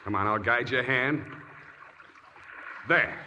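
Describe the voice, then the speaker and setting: An actor with an American accent playing a sardonic, hard-boiled private detective in a 1940s radio drama. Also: male voice, medium pitch, slow pace, pushed and bright. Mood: tense, commanding. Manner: sardonic, wry. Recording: old 1940s radio recording, faint noise.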